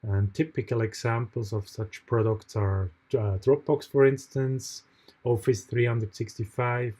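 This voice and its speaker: A man's voice: mid-range voice, steady tone, measured pace, warm tone. Swiss man giving a hesitant tutorial. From Switzerland. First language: not English. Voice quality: hesitant, frequent fillers and restarts. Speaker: online course mentor. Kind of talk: recorded tutorial with screen share.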